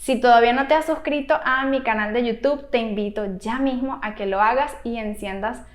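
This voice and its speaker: A woman speaking as a therapist who gives advice to parents in an explanatory, animated and emphatic way. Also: a little high, fairly quick. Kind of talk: explanatory video advice to camera. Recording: roomy, echoey room sound.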